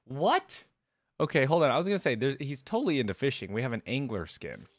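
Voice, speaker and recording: A man's voice: mid-range voice, big pitch swings, fast, a little hiss or hum. A man hosting a reaction video, commenting casually and speaking to viewers.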